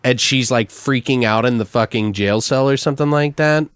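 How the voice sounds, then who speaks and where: A man's voice: mid-range voice, normal speed, smooth, no room sound. A man hosting a podcast, thinking aloud in a casual, rambling, sweary way. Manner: rambling, profane. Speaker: podcast host. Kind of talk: casual improvised brainstorming chat.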